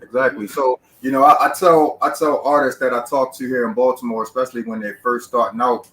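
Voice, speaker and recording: Podcast host dude, talks in an even middle register, keeps hammering the same advice, pretty emphatic. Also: male voice, mid-range voice, a little fast, slight background noise, rough recording. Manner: emphatic, repetitive advice-giving. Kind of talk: conversational interview.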